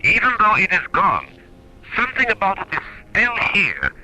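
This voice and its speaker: An actor playing an earnest, uneasy scientist on a moon base in a radio drama, speaking crisply and seriously. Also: male voice, high voice, huge pitch swings, normal speed, bright, forceful tone, slight background noise, heavily acted, low-quality recording. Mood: uneasy, foreboding.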